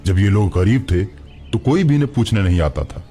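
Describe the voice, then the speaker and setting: A man's voice: on the low side, animated, a little fast, warm tone, faint music behind, pitched below the performer's own voice, close to an everyday voice. A man voicing a kind, solemn ghost who protects a village, speaking earnestly in a cartoon story. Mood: troubled, resolute. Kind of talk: Hindi cartoon story character voice.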